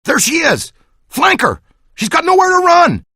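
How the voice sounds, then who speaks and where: A man's voice: extremely high pitch, huge pitch swings, a little slow, bright, forceful tone, no room sound, clean studio sound, full character voice. A man voicing an eager, good-natured ghost-hunting scientist in a video game, earnest and technical. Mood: excited, urgent. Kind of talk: video game dialogue, battle shouts and banter.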